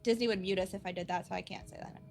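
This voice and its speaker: A woman's voice: mid-range voice, fairly even intonation, rapid-fire, gravelly, darkish tone, faint music behind. A woman player in a tabletop game who playfully cuts in with questions and asides. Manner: playful and interrupting, jumps in with asides.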